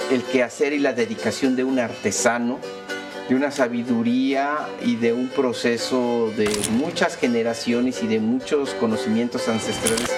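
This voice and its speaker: A man who builds instruments, speaking thoughtfully and hesitantly in a documentary interview. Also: medium pitch. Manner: thoughtful, hesitant with many fillers. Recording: music bed under the voice.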